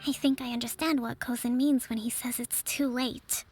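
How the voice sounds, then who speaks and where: A woman voicing a calm young strategist leader. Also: on the high side, soft background music, natural voice. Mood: composed. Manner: calm, measured, soft-spoken. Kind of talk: video game voice acting.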